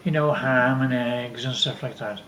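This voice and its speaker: An older man from Wales telling stories from his life casually, haltingly, repeating words as he recalls them. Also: medium pitch, fairly bright tone. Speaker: father telling family stories. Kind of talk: casual storytelling, reminiscing. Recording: roomy, echoey home recording.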